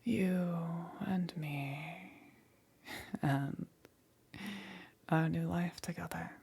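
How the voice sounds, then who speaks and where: A woman's voice: lowish voice, steady tone, exaggerated voice. Woman acting a whispering, possessive demon queen. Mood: tender, ominous. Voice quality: breathy, whispery, husky. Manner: slow, deliberate, softly condescending. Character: seductive, possessive, melancholy demon queen keeping a pet. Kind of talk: whispered ASMR roleplay.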